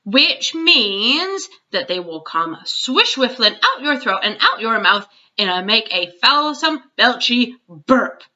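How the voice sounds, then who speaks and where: A woman voicing a big, kindly, excitable old male giant from a storybook, with mangled grammar and nonsense words. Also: fairly high pitch, slow pace, few pauses, muffled recording, pitched above the performer's own voice, full character voice. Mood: earnest explaining.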